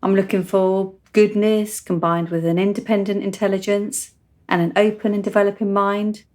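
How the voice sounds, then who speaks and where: A woman's voice: mid-range voice, flat delivery, normal speed, darkish tone, lightly acted. An actress playing a frank, cheerful, precociously mature seventeen-year-old country girl in a radio play, articulate and chatty. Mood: earnest. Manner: articulate, matter-of-fact, chatty.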